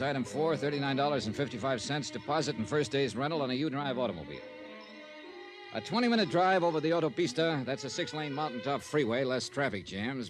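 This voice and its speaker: A man plays a hard-boiled but easygoing American investigator in an old radio mystery, with clear music behind him. His medium-pitched voice moves expressively, clipped and wry, as if telling his own case. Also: close to an everyday voice. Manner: wry, laid-back, clipped. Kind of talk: old-time radio drama, first-person detective narration.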